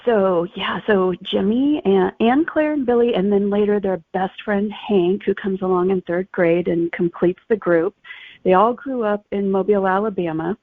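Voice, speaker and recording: A woman's voice: mid-range voice, moderate pace, no echo. A woman author being interviewed, explaining things conversationally over a thin phone-like connection. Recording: thin phone-like, dry sound.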